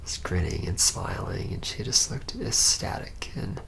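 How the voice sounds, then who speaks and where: A reluctant young male vampire, acted by a man, recounts his past in an intimate ASMR roleplay. His voice is very low and heavily creaky, often dropping into a whisper. He speaks haltingly, with a rueful, haunted air. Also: animated, a bit bright, heavily acted. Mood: uneasy, reflective. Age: young adult. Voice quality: breathy whisper mixed with low, gravelly murmur. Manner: halting, hesitant, pausing mid-phrase. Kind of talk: ASMR roleplay, hushed first-person storytelling.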